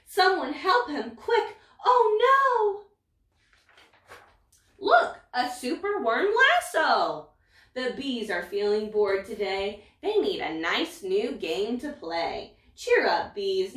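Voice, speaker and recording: Woman, picture-book reader, unhurried, emphatic, dramatic, echoey, slightly distant mic. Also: a little high. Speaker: story reader. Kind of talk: expressive read-aloud of a rhyming picture book. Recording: echoey room, away from mic.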